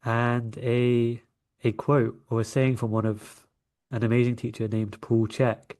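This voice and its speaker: A man with a London accent speaks at an ordinary middle pitch. He talks conversationally, as a guest sharing personal stories in an interview. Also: level tone, measured pace, a little mellow. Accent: London English. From London, England. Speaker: interview guest, coach and energy healer.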